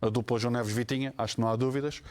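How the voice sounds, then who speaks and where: A man's voice: medium pitch, steady tone, rapid-fire, a little mellow. A man with a European Portuguese accent giving analytical football commentary on a panel show. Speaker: football pundit.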